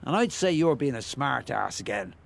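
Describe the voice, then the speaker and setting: An actor playing a chatty, nosy older Irish ex-bricklayer who rambles and muses in a radio play. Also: male voice, medium pitch, very animated, moderate pace, creaky, a little hiss or hum. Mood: chatty, musing. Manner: garrulous, rambling, musing, with hesitations.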